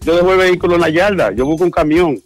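A man's voice: fairly high pitch, very fast, soft background music. A man talking casually and informally on a phone call, explaining himself in repeated phrases. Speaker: prank call victim, ordinary night-shift worker.

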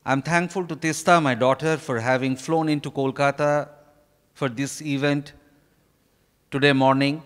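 A man in his fifties with an Indian accent gives a formal prepared speech in halting, deliberate short phrases. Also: mid-range voice, little pitch movement, smooth. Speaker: author addressing an event. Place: stage in a large hotel ballroom. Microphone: handheld microphone. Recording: reverberant hall, live stage microphone.

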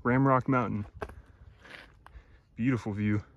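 A young man from Florida, chatting casually to his phone camera while hiking a forest trail, upbeat and filling pauses with "uh". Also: mid-range voice, fairly even intonation, dry recording, muffled recording. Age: young adult. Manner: upbeat, chatty, thinks aloud with fillers. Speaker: hiker vlogger. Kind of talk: casual vlog narration. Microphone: phone at arm's length. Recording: faint outdoor noise.

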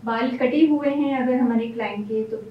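A woman's voice: fairly high pitch, normal speed, reverberant, recorded from a distance, dull audio. A woman hairstylist explaining each step of a hairstyle as she works in a salon. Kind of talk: hairstyling tutorial. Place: salon room.

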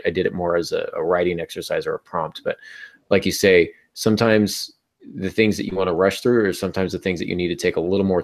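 A man's voice: low-pitched, gravelly. A middle-aged man hosting a casual panel discussion on writing, conversational and thinking aloud, on a video call with earbuds from a small home room. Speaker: podcast host. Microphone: earbud headset on a video call.

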